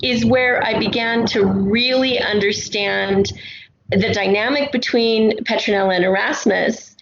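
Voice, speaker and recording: A woman's voice: on the high side, steady tone, unhurried, vocal fry. A woman talking casually and reflectively as a guest writer in a podcast interview. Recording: roomy, dull lo-fi, faint noise.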